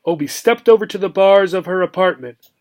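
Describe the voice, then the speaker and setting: Higher-voiced man reading a children's story. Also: brisk, darkish tone. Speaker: teacher reading aloud. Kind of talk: expressive children's audiobook narration.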